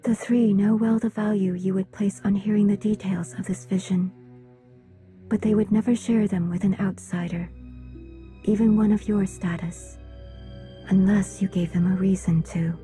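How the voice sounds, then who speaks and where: Woman playing a dark-voiced, solemn alien envoy. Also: mid-range voice, steady tone, faint music behind, lightly acted. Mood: grave, formal. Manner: measured, formal, restrained. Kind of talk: video game cutscene dialogue.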